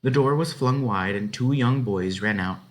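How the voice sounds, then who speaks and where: A man reading a children's story aloud as an expressive narrator. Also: medium pitch, normal speed.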